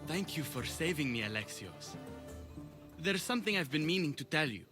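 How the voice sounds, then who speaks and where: A man's voice: fairly high pitch, sing-song, moderate pace, over music. A man acting a troubled ancient Greek war veteran in a video game, earnest and vulnerable in his delivery. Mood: tender gratitude.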